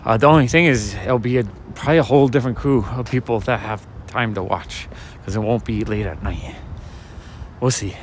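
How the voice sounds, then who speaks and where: A man with a mid-pitched, raspy voice talks softly and casually to his viewers as he strolls. He rambles from one thing to the next in an easygoing way. His phone picks up clear street traffic around him on a night-time city walk. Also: fairly quick. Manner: casual, chatty, talks to viewers while walking. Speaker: walking vlogger and livestreamer. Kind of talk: soft-spoken rambling walk-and-talk commentary. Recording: street noise and passing traffic. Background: city street ambience and vehicles.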